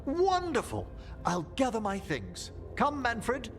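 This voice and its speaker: Animated, genteel necromancer professor. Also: male voice, very high-pitched, slow pace, heavily acted. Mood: eager, proud. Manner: formal, theatrical, polite. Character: genteel male necromancer professor, eager, erudite, courteous. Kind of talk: dramatic video game acting.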